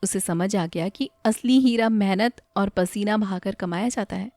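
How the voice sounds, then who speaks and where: A woman's voice: mid-range voice, moderate pace, darkish tone, no room sound. A woman narrating a scripted moral story in a dramatic storytelling style. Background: faint music.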